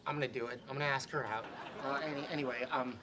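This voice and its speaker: A man's voice: mid-range voice, fairly even intonation, very fast, a crowd in the background, noisy background, close to an everyday voice. An actor playing a nervous, shy young man who stammers and hesitates while trying to speak to a woman, in a sitcom clip. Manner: hesitant, stammering, filler-heavy.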